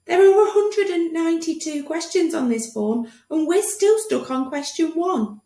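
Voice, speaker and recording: A woman voicing a fussy, flustered male hospital doctor in a storybook reading, fretful and excitable. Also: extremely high pitch, moderate pace, recorded from a distance, pitched above the performer's own voice. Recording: echoey room.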